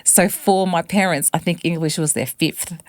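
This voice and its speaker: A woman in her forties from West Papua, not a native English speaker, speaks in a low register. She talks earnestly and reflectively. The recording is close and dry. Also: moderate pace, no echo. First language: a West Papuan language. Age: 40s. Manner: earnest, reflective, animated on family memories. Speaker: singer-songwriter guest. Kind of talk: personal storytelling in an interview.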